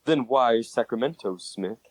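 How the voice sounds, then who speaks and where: A man's voice: medium pitch, animated, measured pace, a little mellow, husky, no room sound. A man playing a stern, formal, demanding railroad boss in a comic dub. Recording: voice under background music.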